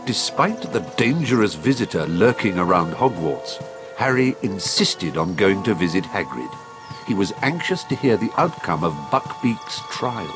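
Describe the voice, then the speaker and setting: An actor narrating a video game story as a grave, dramatic storyteller. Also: male voice, on the low side, very animated, normal speed, music in the background.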